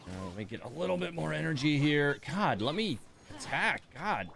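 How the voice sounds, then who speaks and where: A man's voice: a little high, sing-song, moderate pace, gravelly, fairly bright tone. A man giving casual live commentary as he plays a game, muttering and grumbling his reactions. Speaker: game streamer.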